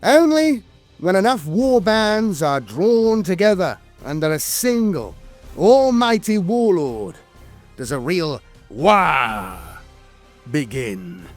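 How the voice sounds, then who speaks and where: A man's voice: high-pitched, big pitch swings, slow, faint music behind. A man reading scripted lore narration in a theatrical, emphatic storytelling manner.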